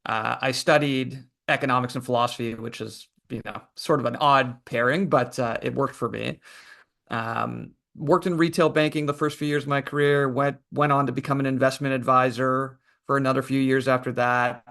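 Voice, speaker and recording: A man, an author guesting on a podcast, who tells his story in a relaxed, thoughtful way with lots of fillers. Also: medium pitch, normal speed, gravelly. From Canada. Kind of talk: casual interview answers.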